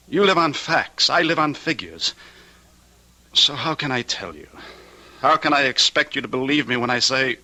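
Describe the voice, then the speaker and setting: An actor playing a young, sophisticated accountant and troubled husband in an old-time radio drama, speaking in earnest, deliberate statements. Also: male voice, medium pitch, bright, forceful tone, exaggerated voice. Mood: earnest, hesitant.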